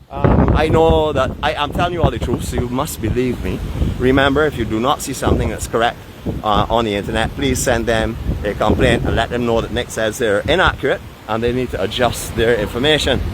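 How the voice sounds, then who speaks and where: A man in his early fifties with a Barbadian accent, chatting casually and playfully as he hosts a live outdoor walking vlog. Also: mid-range voice. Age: early 50s. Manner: playful, teasing, tongue-in-cheek boasting. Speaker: live vlog host and tour guide. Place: outdoors on windy seaside cliffs. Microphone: handheld rig microphone with furry windscreen. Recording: loud gusting wind muffling and distorting voice.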